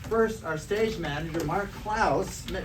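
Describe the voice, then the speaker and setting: Higher-pitched man presenting, noisy recording. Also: unhurried. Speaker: theater troupe presenter. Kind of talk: scripted stage introduction. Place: live stage performance space. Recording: distant mic with background noise.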